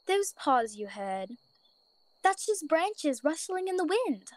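A gentle mother rabbit, put on by a woman, soothing her frightened child at night. Her voice is soft and patient, fairly high and lilting, the sound of a bedtime story. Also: very animated, takes it slowly, no room sound, full character voice.

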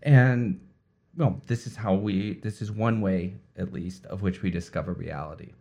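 Inquisitive interviewer, halting restarts. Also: sits low, fairly even intonation, creaky, a little mellow. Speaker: interviewer, host. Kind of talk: conversational interview.

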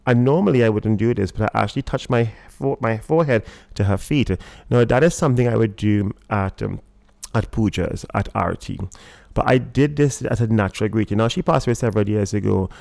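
A Trinidadian man with a low voice full of gravelly fry. He talks in a loose, unhurried interview style and keeps stopping to search for words. His lower register adds to the relaxed feel. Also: no echo. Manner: hesitant, many fillers and restarts. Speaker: guest. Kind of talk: casual interview talk.

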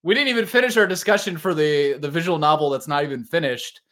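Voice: mid-range voice, quick pace, fairly bright tone. A podcast host with a mid-range voice talks in a loose, casual way about anime and games. The delivery is opinionated and joking, with plenty of casual swearing.

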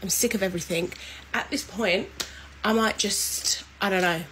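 A woman's voice: medium pitch, moderate pace, a bit bright, slight background noise, low-quality recording. A woman in her twenties complaining to camera in a social media clip about being single. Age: 20s.

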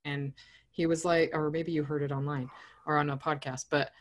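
An American woman with a deeper voice, co-hosting a podcast in casual, emphatic chat, swearing freely. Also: flat delivery, moderate pace. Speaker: podcast co-host.

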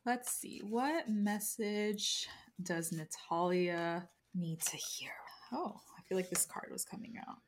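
A woman's voice: mid-range voice, slow. A woman co-hosting a podcast, chatting informally and reading tarot cards in an encouraging, slangy way. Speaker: podcast co-host. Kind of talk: casual chat with tarot card readings.

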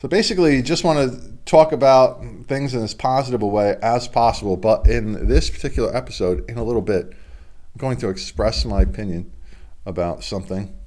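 A man's voice: mid-range voice, brisk, a little mellow. A middle-aged man hosting a casual solo sports report from his home studio, his voice congested from a cold, chatty and rambling with self-deprecating asides. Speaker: local sports podcast host. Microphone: video stream webcam setup.

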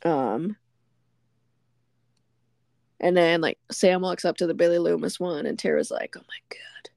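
A woman's voice: on the low side, unhurried, gravelly, darkish tone, no echo. A woman co-hosting a podcast, casually recapping a film scene by scene and laughing often as she goes. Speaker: podcast co-host. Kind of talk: casual movie recap chat. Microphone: remote call.

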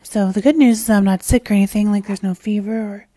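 A woman hosting her own podcast in a casual, rambling solo chat, her voice raspy and hoarse as she loses it, with plenty of fillers and restarts. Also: medium pitch, steady tone, fast, dark tone, no echo. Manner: swears casually. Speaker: podcast host.

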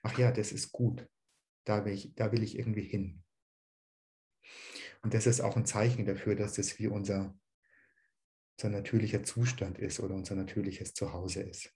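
A man teaching dharma to an online group, calm and searching, with a slight cold. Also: lowish voice, moderate pace, long pauses. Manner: calm, searching, with many "ähm" restarts.

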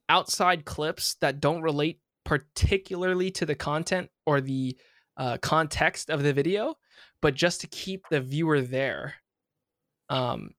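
A man's voice: a little high, fairly bright tone, no room sound, studio quality. A young man hosting a podcast, talking casually with lots of fillers and restarts. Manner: rambling, many fillers and restarts. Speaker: podcast host.

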